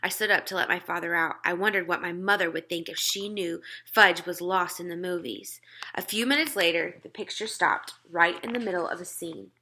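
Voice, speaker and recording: A woman narrating a children's book aloud in an expressive style. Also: lowish voice, moderate pace. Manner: acts out dialogue.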